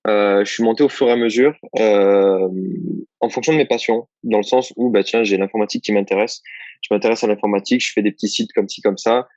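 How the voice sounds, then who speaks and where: A man's voice: lowish voice, fairly even intonation, brisk, a little mellow, dry recording, muffled recording. A young man from southern France, answering interview questions about his work as a copywriter in a loose, rambling way, full of fillers and restarts. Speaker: guest, copywriter. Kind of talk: casual interview chat.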